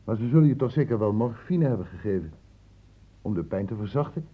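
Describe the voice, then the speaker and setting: Through a thin, phone-like old radio recording comes a stern male doctor, fairly low and husky. He questions with clinical, deliberate precision, his pitch moving in lively steps as he calmly corners his suspect in a radio play. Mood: questioning. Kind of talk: Dutch radio drama acting.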